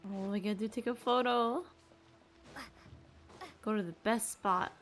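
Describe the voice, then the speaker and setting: A woman's voice: medium pitch, steady tone, a little slow, a bit bright. A woman game streamer chatting casually with viewers and commenting as she plays. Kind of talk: casual livestream chat and gameplay commentary. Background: game music.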